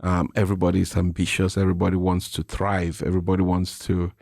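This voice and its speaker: A middle-aged man with a Cameroonian English accent, speaking as an interview guest in a measured, reflective, explanatory way. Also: deep voice, monotone, vocal fry, a little mellow, no room sound, clean studio sound. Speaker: interview guest, nonprofit president and CEO. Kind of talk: reflective conversational interview answers.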